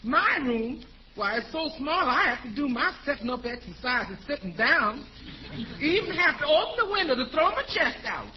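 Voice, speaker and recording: An actress playing a good-humored, wisecracking housekeeper in a 1940s radio comedy. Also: female voice, sits high, big pitch swings, normal speed. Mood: wry, good-humored. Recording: muffled, hissy old radio recording.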